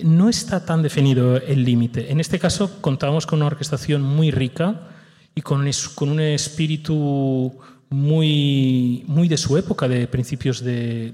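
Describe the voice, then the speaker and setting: A man's voice: mid-range voice, steady tone, a little slow, dark tone. A man serving as music director on a post-show panel, speaking thoughtfully, with frequent hesitant restarts. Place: theater stage or hall. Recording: reverberant hall.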